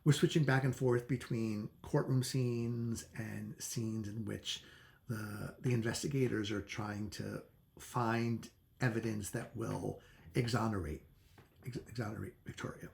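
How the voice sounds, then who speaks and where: A man reviewing a book in a casual, unscripted way, halting and often correcting himself as he talks conversationally. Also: fairly low pitch, steady tone, gravelly, darkish tone.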